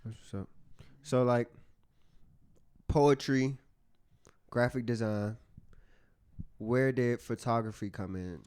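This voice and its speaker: A man's voice: mid-range voice, fairly even intonation, takes it slowly, long pauses, darkish tone, clean tone. A young man hosting a podcast, chatting casually and teasing his guest with lots of slang. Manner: teasing, laughs a lot, slangy. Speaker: podcast host. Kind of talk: casual chat, interviewing.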